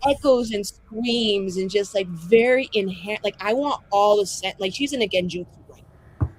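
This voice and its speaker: Female tabletop roleplayer, mid pitch, casual and theatrical storytelling, slight background noise. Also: moderate pace, low-quality recording. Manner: dramatic, profane, colloquial with many "like"s.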